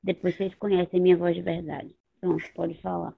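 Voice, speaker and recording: A hoarse woman with a Northeastern Brazilian accent co-hosting a casual book podcast, blunt, slangy and given to swearing. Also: on the low side, little pitch movement, brisk. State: hoarse from two days of partying. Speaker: podcast co-host. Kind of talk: casual chat about books. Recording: tinny phone-like line.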